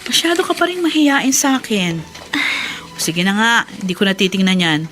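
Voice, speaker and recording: A woman acting in a Filipino radio drama as a kindly, trusting married teacher who runs a household, gentle but firm. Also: mid-range voice, very animated, normal speed, slight background noise. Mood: kindly, brisk.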